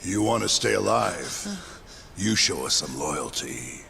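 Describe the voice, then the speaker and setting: A coldly mocking yakuza captain in a dramatic game cutscene. His voice is very deep and heavily creaky, drawled slowly and deliberately, with music underneath. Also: lively intonation, sharp tone, slight background noise, heavily acted. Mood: cold menace. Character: menacing, sardonic male yakuza captain, coldly toying with people.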